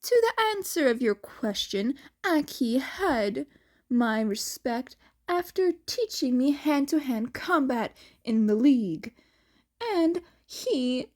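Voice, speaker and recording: Proud, indignant little brat played by a woman reader, blunt and higher-voiced, for narrated fiction. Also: a little mellow, heavily acted. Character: bratty, defensive young boy, proud and blunt.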